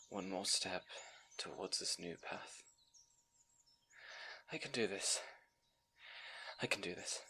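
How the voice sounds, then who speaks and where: A man acting as a timid young fantasy scribe, full of self-doubt. He speaks slowly and softly, halting and stammering as he goes, in an intimate audio roleplay style. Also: fairly low pitch, slight background noise, heavily acted. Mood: nervous, resolute. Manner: nervous, halting, stammering, soft-spoken.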